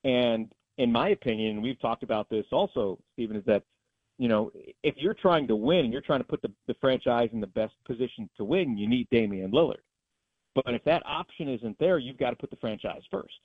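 Over a dry, narrow phone-like line, a man of medium pitch talks sports as a guest announcer. His analysis is conversational but emphatic, and he states his opinions firmly. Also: brisk, no echo.

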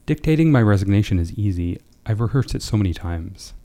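A man's voice: fairly low pitch, animated, a little fast, warm tone. A man speaking as a writer, in an understated way, reading or discussing his own short fiction. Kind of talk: literary reading, then casual discussion.

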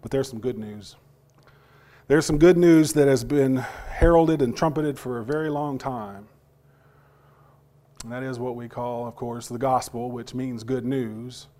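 A man preaching a sermon to his congregation, his voice partly lost and still recovering, talking in a halting way with repeated words and questions to the listeners. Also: mid-range voice, mellow.

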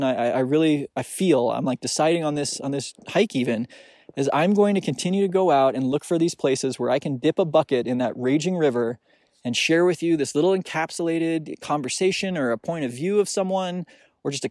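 Male podcast host with a soothing, slightly high voice; reflective solo talk, halting with restarts, dry close recording. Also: darkish tone, no echo. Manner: halting, searching, with many restarts and fillers. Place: outdoors on a snowy mountain hike.

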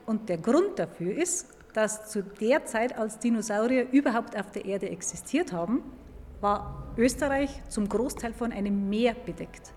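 Woman expert explaining stuff to kids, clear teachery talk, big echoey hall, bit of noise. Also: a little high, animated, measured pace, darkish tone. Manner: clear, didactic, emphatic repetition. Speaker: museum paleontologist. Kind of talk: explaining science to a child. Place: large echoing indoor museum hall. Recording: reverberant hall with background noise.

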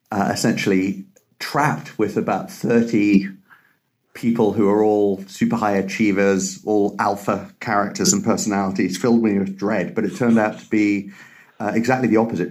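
A middle-aged man with a British English accent, a biotech CEO speaking in a measured, articulate way with frequent pauses in a podcast interview. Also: on the low side, a little mellow. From Tanzania.

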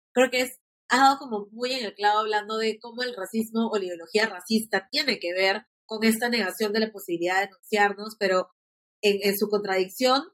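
A woman hosting a podcast, speaking in an articulate, measured way and affirming her guest. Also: medium pitch, fairly bright tone, no room sound. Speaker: podcast host. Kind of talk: podcast interview.

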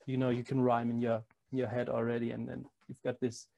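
Gravelly German-accented male interviewer. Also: mid-range voice, monotone, brisk, dark tone, muffled recording. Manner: hesitant, many restarts and repeated words. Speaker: podcast host, interviewer. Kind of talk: casual interview chat.

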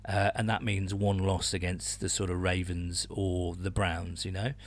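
A man's voice: sits low, little pitch movement, unhurried. A British man co-hosting a sports podcast in a casual, enthusiastic, blokey way with emphatic phrasing. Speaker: podcast host.

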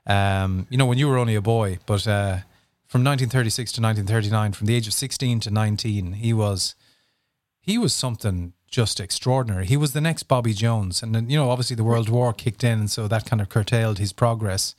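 A man's voice: lowish voice, brisk, darkish tone, dry recording, clean studio sound. A man interviewing a guest, with long, rambling questions full of fillers.

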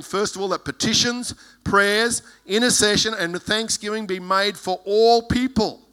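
A man preaching a fervent sermon as pastor, with a loud voice, reading scripture verses aloud. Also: high voice.

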